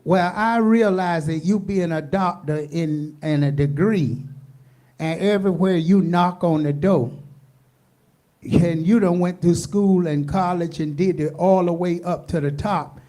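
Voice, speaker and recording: A woman's voice: fairly low pitch, measured pace, warm tone. An American woman hosting a radio talk show, talking emphatically and asking rhetorical questions.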